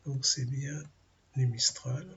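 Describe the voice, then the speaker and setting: A man with a French accent talks slowly through a weather briefing, his voice rough and gravelly and thick with a head cold. He pauses often, hunting for words, and the recording sounds dull and lo-fi. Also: medium pitch, fairly even intonation, fairly bright tone, a little hiss or hum. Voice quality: gravelly, husky. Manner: halting, many fillers, thinking aloud over weather maps. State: has a cold, clears throat. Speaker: amateur weather forecaster.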